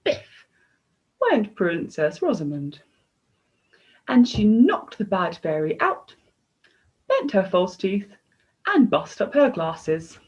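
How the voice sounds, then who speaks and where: A woman's voice: mid-range voice, very animated, slow, pauses often, darkish tone, breathy edge. A woman storyteller reading a children's story expressively, in a slightly dull, lo-fi recording.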